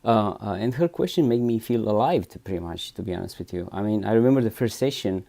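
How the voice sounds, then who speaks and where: Low gravelly man with a Romanian accent. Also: lively intonation, fast talker, dark tone. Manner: hesitant, many restarts and fillers, frequent "right?" tags. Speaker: therapy client giving a testimonial. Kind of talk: unscripted spoken testimonial.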